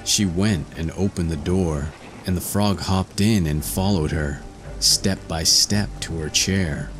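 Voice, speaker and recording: A deep-voiced man narrates a fairy tale at a slow, measured pace. His reading is formal, with faint music behind it. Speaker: storyteller, narrator.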